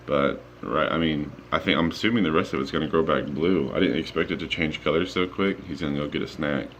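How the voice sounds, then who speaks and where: A young man with a very deep, low voice who has just woken up and sounds sleepy. He rambles in a relaxed way, full of ums, while filming his home aquariums on a handheld phone. A faint hum sits behind him. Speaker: aquarium vlogger. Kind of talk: casual walkthrough vlog. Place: home room with fish tanks, indoors. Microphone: handheld phone camera.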